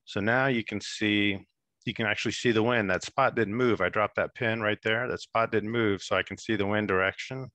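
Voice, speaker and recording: A man in his thirties talking through a screen-share software demo on a video call, relaxed and explanatory with many fillers. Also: fairly low pitch, normal speed, no room sound. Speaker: app demonstrator.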